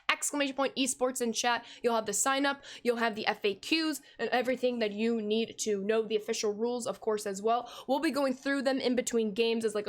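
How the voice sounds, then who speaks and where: A woman in her twenties with a fairly high, slightly hoarse voice calls the action of a game for a live stream. She keeps an upbeat, quick commentator's rhythm and breaks off to thank viewers by name. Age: 20s. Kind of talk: live play-by-play commentary and chat reading.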